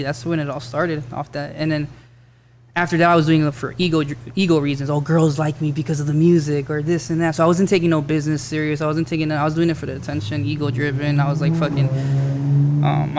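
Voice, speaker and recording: A man in his thirties with a high-pitched voice, a rapper chatting loosely on a podcast in a bar, swearing often and saying "like" a lot. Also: level tone, fast talker, a little hiss or hum. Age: 30s. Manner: laid-back, swears often, fills with "like". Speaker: rapper guest. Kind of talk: casual podcast chat.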